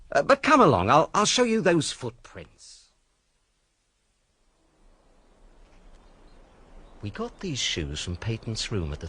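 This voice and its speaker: An actor playing a confident, matter-of-fact police inspector in a radio drama, explaining in a cocksure, orderly way. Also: male voice, on the low side, fairly bright tone, husky, dry recording, studio quality, heavily acted. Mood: self-assured.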